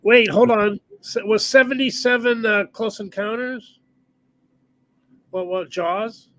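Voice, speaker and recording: A man co-hosting a podcast, chiming in with short remarks and quick questions. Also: high-pitched, steady tone, fairly bright tone, dry recording. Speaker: podcast co-host. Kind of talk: casual podcast chat.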